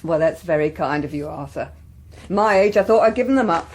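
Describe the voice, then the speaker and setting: A woman's voice: low-pitched, animated, fairly quick, a little mellow, slight background noise. An actress playing a wary, no-nonsense older working woman in a radio drama, British, blunt and teasing. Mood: wry, brisk.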